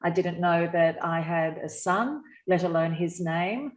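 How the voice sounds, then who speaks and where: A woman's voice: lowish voice, unhurried. An Australian woman, a guest on a video call, telling her own story in a chatty, upbeat way. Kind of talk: casual personal account. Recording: echoey room on a call.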